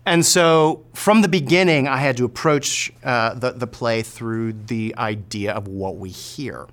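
A man's voice: medium pitch, measured pace, fairly bright tone. A man speaking reflectively in an interview as a theater director, thoughtful and hesitant.

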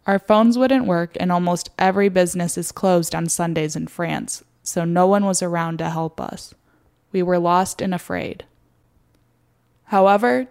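A woman's voice: fairly low pitch, darkish tone, clear, resonant tone. A young American woman reading a scripted personal story as its narrator.

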